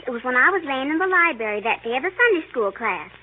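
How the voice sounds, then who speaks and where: Very high, swooping cheeky little girl. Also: very animated, quick pace. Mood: secretive, smug. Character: frank, nosy little girl, cheeky tattletale. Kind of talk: 1930s radio comedy acting. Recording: tinny, noisy 1930s radio broadcast.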